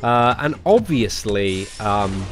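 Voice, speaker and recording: A man's voice: lowish voice, very animated, slow. A young man live-streaming a mobile game, chatting casually with viewers while he plays, sounding a bit nasal from a cold. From England. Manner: chatty, many fillers, greets viewers by name. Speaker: gaming streamer.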